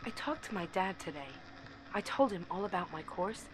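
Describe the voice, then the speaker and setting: A woman's voice: lowish voice, expressive, moderate pace, lightly acted. Woman playing an eager young student, noisy background. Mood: keen, earnest. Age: young adult. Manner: earnest, confiding, diary-like. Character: eager, naive, infatuated young female university student. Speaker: actor. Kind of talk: audio drama monologue. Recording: clear background ambient noise.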